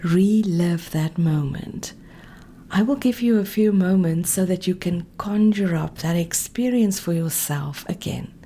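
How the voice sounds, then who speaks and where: A woman speaking as a meditation coach, giving calm, gently directive guided instruction in a soft, breathy voice that often nears a whisper. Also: on the low side, measured pace, a little hiss or hum.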